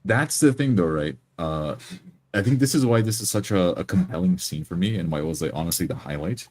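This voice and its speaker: A man's voice: low voice, expressive, brisk, darkish tone. A man chatting casually as a player in a role-playing game group.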